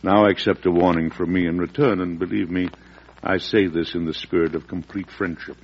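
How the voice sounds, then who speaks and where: An actor playing a fatherly, principled early American president in old-time radio drama, speaking with measured earnestness that turns oratorical when roused. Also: low-pitched, fairly even intonation. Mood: earnest warning. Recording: dull, noisy vintage radio recording.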